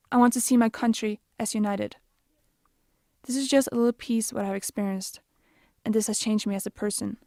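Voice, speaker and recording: Young woman, Bosnian accent, earnest measured narration, slightly high and mellow. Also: no room sound, studio quality. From Denmark. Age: young adult. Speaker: youth activist. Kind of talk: scripted personal narration.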